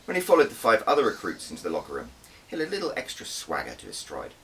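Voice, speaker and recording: Male author-narrator: deep, expressive first-person storytelling, recorded slightly off the mic. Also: fast talker. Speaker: author reading own audiobook. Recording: distant mic with faint background noise.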